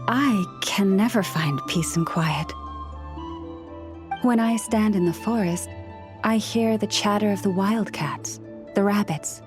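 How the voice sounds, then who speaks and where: A gentle young woman from a video game, voiced by an actress, speaks at an average female pitch. The delivery is patient, polite and measured, as if coaxing small creatures. Faint music runs underneath. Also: lightly acted.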